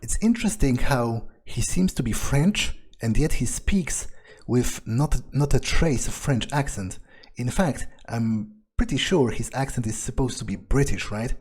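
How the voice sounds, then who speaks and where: A man's voice: mid-range voice, animated, measured pace, hardly any pauses, darkish tone, husky. A man role-playing a reserved fledgling vampire in a video game playthrough, speaking casually and hesitantly as he muses. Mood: musing, wary. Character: reserved, wary male fledgling vampire, thinking aloud.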